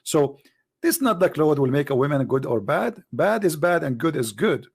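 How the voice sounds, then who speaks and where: A man hosts a live stream call, lecturing his guest in an emphatic, preaching way. His English is broken but fluent. The voice is gravelly and sits a little high. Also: sing-song, moderate pace.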